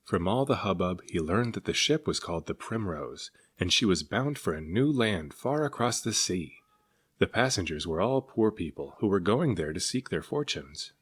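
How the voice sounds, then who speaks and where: A man reading a children's story aloud as narrator, in an expressive manner. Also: low voice, moderate pace, slightly rough. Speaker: storybook reader.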